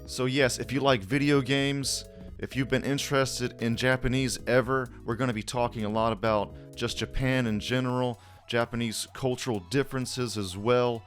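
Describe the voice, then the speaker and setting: A man's voice: medium pitch, steady tone, normal speed. Man announcing a podcast over music. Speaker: podcast host. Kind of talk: casual promotional announcement.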